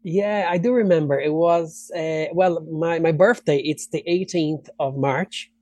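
A Brazilian man, a native Portuguese speaker, with a mellow and slightly high voice. He tells personal anecdotes in a casual interview style, often acting out remarks. The sound is close and dry. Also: a little slow, no echo. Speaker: interview guest, actor.

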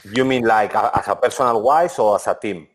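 A man's voice: lowish voice, a little fast, slight background noise. A man in his forties, a former footballer and interview guest, speaking with many restarts and "to be honest". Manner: non-native English, frequent "to be honest" and restarts. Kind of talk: relaxed interview answers.

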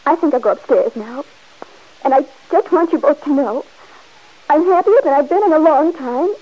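A headstrong young bride-to-be. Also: female voice, high voice, animated, normal speed. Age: young adult. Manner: insistent, defensive. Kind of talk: 1940s radio acting. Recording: dull old radio recording.

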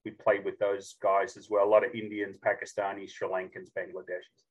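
An Australian man in his fifties telling stories casually as a podcast guest, jokey and animated as he acts out bits of dialogue. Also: on the low side, a little fast, muffled recording. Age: 50s. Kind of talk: casual storytelling.

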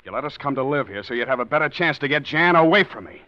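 An actor playing a resentful, scheming husband in a 1940s radio drama, bitter and halting. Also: male voice, mid-range voice, animated, no room sound, natural voice. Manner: bitter, hesitant with fillers. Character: scheming resentful husband, George, middle-aged. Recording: dull old radio recording.

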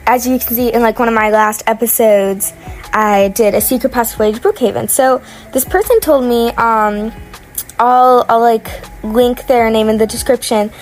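A woman's voice: a little high, low-quality recording. A young woman who is sick narrates gameplay from her bed at home in a casual, rambling way full of fillers. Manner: rambling, many fillers and restarts. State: sick, voice sounding off. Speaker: gaming vlogger.

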